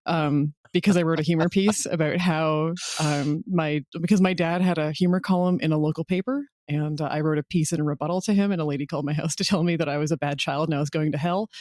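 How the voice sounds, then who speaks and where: A woman's voice: low voice, steady tone, quick pace, few pauses, darkish tone, dry recording. Casual woman guest with a dry recording. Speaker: podcast guest, audio drama writer and director. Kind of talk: casual interview chat.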